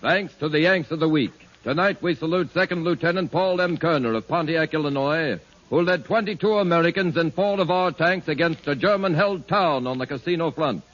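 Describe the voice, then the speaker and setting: A man's voice: a little high, brisk, a bit bright, faint music behind. A man reading a scripted announcement in 1940s radio style. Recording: muffled 1940s radio recording.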